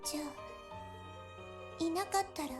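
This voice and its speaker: Wistful lovesick anime game girl, young woman, high voice, soft n plaintive, loud music behind. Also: normal speed. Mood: confused, aching. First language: Japanese. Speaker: voice actress in a visual novel. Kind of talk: emotional anime-style game acting. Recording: game voice over prominent music.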